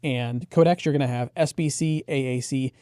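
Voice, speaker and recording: A man's voice: mid-range voice, normal speed, mellow, clean tone, no room sound, studio quality. A man co-hosting a product preview, explaining features conversationally with easygoing, approving asides. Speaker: audio gear reviewer and co-host.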